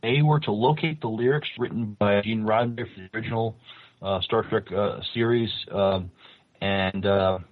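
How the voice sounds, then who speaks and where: A man co-hosting a podcast over an internet call, speaking casually and a bit haltingly. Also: fairly low pitch, fairly even intonation, no room sound. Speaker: podcast co-host. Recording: tinny phone-like internet call.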